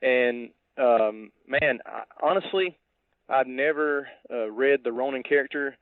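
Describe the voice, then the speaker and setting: A man co-hosting a podcast over a tinny line, casually discussing a film in a halting, thinking-aloud way. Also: mid-range voice, no room sound. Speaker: podcast co-host. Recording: tinny, dry phone-like line.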